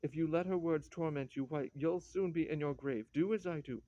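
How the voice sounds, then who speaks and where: An actress playing a brisk young sister-in-law in a classic stage drama, matter-of-fact and urging. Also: female voice, low-pitched, dark tone, dry recording, muffled recording, natural voice. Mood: brisk consoling.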